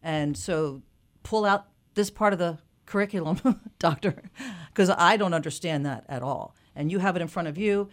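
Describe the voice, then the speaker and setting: Chatty lower-voiced woman radio host. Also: moderate pace. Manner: chatty, enthusiastic, interjecting. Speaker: radio talk show host. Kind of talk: radio talk show interview. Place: radio studio.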